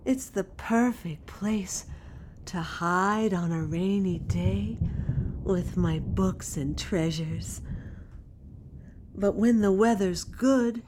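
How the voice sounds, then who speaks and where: A woman playing a grieving grown daughter, narrating an intimate audio drama about memories of home with tender, dramatic pauses. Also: mid-range voice, music in the background. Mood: childlike wonder. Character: grieving grown daughter recalling her childhood home with wonder. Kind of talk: intimate first-person audio drama monologue.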